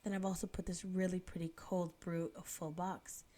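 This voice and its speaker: A woman's voice: on the low side, little pitch movement, moderate pace, dark tone. Sniffly chatty woman. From United Kingdom. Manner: chatty, laughs often, self-deprecating. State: sniffly from a cold. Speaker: planner vlogger. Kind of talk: casual voice-over narration.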